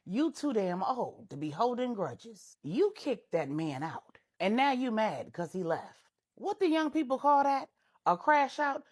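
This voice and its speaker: A woman's voice: on the high side, very animated, unhurried, voice pushed up. A woman voicing a blunt, scolding, motherly older friend with a folksy, plain-spoken manner in a told story. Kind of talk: audio storytelling dialogue.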